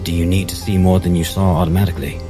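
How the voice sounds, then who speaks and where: Earnest, guarded crewman, acted by a man, very low voice pushed emphatically, used to command, music behind, cinematic space tabletop game. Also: flat delivery, quick pace, close to an everyday voice. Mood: firm. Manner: emphatic, declamatory.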